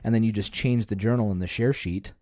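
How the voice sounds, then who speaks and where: A man's voice: lowish voice, fairly even intonation, quick pace, mellow, no room sound, clean studio sound. A man co-hosting a podcast, chatting casually and explaining things in a conversational way. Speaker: podcast co-host. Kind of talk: casual chat.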